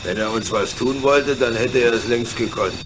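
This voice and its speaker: A man playing a calm, fearless, good-natured engine driver in a German radio play, speaking reassuringly. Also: medium pitch, moderate pace, heavy background noise, close to an everyday voice.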